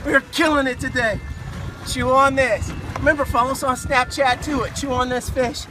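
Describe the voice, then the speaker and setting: A man talking casually and excitedly as host of a fishing vlog, outdoors on a boat at sea. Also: very high-pitched, normal speed, background noise. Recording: loud music over outdoor boat audio.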